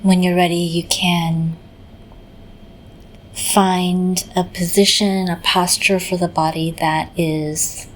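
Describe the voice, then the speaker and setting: Slow, low-toned woman guiding meditation. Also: little pitch movement, a little hiss or hum, rough recording. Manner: gentle, unhurried, pausing mid-phrase. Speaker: meditation teacher. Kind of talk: guided meditation narration.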